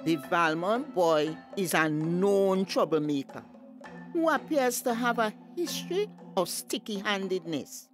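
A woman's voice: medium pitch, lively intonation, unhurried, faint music behind, natural voice. A woman with a Tobagonian accent playing a stern, suspicious village mother in a radio drama, emphatic and indignant. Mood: guarded.

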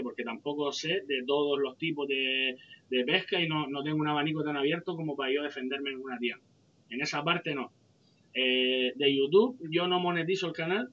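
Casual co-host, male, fishing chat; fairly high voice, muffled call audio. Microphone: headset on a video call.

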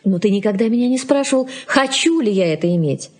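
A middle-aged wife and mother in a 1980s Soviet radio play. Her voice is husky and mid-pitched, and she speaks in a conciliatory, wistful way, gently coaxing and questioning. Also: animated, fast talker. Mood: wistful, reproachful.

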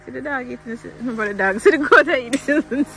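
A woman's voice: sits high, quick pace, bright, forceful tone, faint music behind. A young woman, chatting casually outdoors as she vlogs around her farm. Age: young adult. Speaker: vlogger showing her farm. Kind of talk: casual outdoor vlog chat. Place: outdoors in a grassy goat pasture. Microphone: handheld phone camera.

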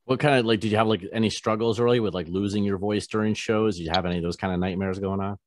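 A man in his forties, a radio host casually interviewing a musician over a video call, with halting questions full of fillers. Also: fairly low pitch, steady tone, no room sound. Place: home studio, posters on wall. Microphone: large studio microphone, webcam.

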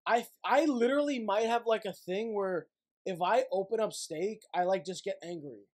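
A man's voice: sits high, normal speed. A man in his twenties hosting a casual livestream from his room, talking bluntly and swearing with an irritated edge. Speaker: livestream host. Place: small dim gaming room at home.

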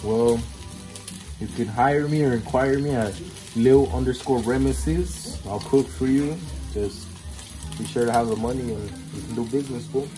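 A man's voice: medium pitch, moderate pace, music in the background, low-quality recording. A young man cooking in a small home kitchen, joking in profane slang and slipping Spanish words into his English. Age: young adult. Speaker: home cook showing a recipe. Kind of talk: joking cooking show banter.

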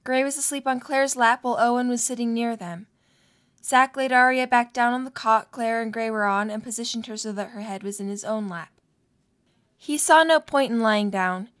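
Woman, high voice, narrator, scripted fan audio drama storytelling. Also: normal speed.

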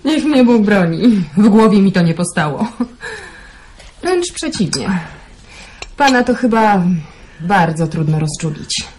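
A woman playing a sharp-tongued young woman who teases and mocks a man, in a radio drama. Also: medium pitch, animated, a little slow, pauses often, close to an everyday voice. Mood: teasing. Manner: teasing, mocking, laughing. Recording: noticeable background noise.

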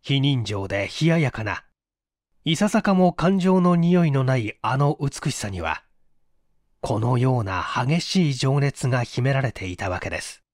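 A man's voice: mid-range voice, expressive, a little slow, dry recording, clean studio sound. A man narrating a literary mystery story in a dramatic audiobook style. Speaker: audiobook narrator, stage performer.

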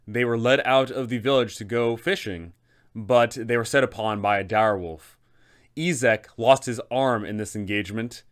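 A man in his thirties hosting a tabletop game guide, telling the story in an emphatic, deliberate way. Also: on the low side, a bit bright. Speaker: host and game master giving advice.

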